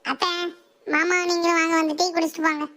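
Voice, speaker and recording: A woman voicing an assertive, sharp-tongued housewife and mother in a comic cartoon. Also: very high-pitched, flat delivery, fast, full character voice. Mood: breezy. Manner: bossy, challenging, sarcastic.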